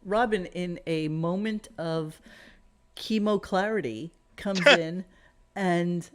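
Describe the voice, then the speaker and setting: A woman's voice: fairly low pitch, slow. A woman hosting a talk show with casual, mocking commentary, sarcastic and exasperated, swearing and repeating phrases.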